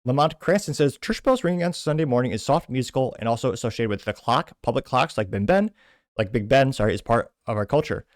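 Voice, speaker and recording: A man's voice: mid-range voice, lively intonation, fast, dry recording, studio quality. A man hosting a commentary show, casually reading comments aloud with rambling asides and self-corrections. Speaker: host.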